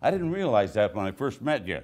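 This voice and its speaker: A man hosting a promotional interview in a showy, mock-grand announcer manner with drawn-out emphasis. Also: lowish voice, sing-song, a little mellow. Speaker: interviewer in a team promotional segment.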